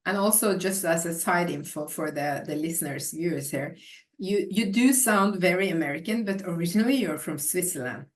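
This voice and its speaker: A woman hosting an interview podcast who asks her questions hesitantly, with many fillers, and lets her voice trail off softly. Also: on the low side, normal speed, far from the mic. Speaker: podcast host.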